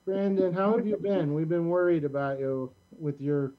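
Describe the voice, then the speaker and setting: Male host-director: high voice, casual delivery, muffled lo-fi sound. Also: fairly even intonation, normal speed. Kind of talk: casual live show hosting. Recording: dull, lo-fi call audio.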